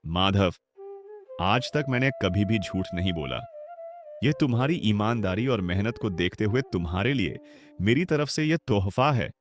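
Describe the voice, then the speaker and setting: A man voices a generous village headman in a cartoon, confident and kindly condescending. His voice is low and moves lively in pitch. Music plays clearly behind him. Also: normal speed, natural voice. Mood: benevolent. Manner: benevolent, confident. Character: generous older male village headman, warm and patronizing. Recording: background music under voice.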